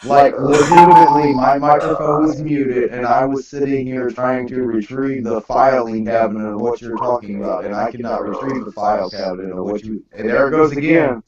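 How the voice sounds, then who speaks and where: A man's voice: mid-range voice, fairly even intonation, a little fast, few pauses, darkish tone, lo-fi sound. A man taking part in a casual round table conversation. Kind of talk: casual chat. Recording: echoey, with crowd noise behind.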